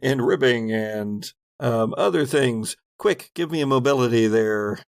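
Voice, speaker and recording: Guy running a tabletop rpg, gravelly and unhurried, dry close sound, dramatic pauses. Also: mid-range voice, lively intonation, dry recording. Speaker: game master. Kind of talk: improvised tabletop game narration.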